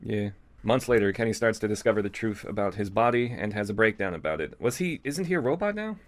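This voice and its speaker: A man's voice: fairly low pitch, lively intonation, quick pace. A man reading fan fiction aloud as a co-host, with casual, amused, irreverent asides.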